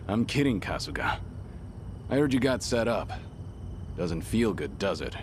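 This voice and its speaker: A man playing a stoic, weary, gruff ex-yakuza legend in a video game cutscene, terse and deadpan with dry humour. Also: lowish voice, a little slow, noisy background. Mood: dry teasing. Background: loud music.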